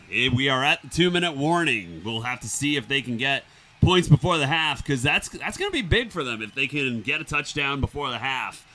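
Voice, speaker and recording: A man's voice: medium pitch, sharp tone. Upstate ny guy doing laid-back game commentary on a stream, easygoing, lots of ums. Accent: upstate New York. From upstate New York. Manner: easygoing, chatty, with filler words. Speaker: live stream co-host. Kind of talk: casual play-by-play commentary on a live stream.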